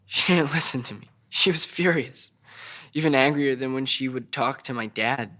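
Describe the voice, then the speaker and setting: Man acting a troubled, halting, childlike young man. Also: mid-range voice, sharp tone, close to an everyday voice. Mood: desperate, haunted. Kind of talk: audio drama table read.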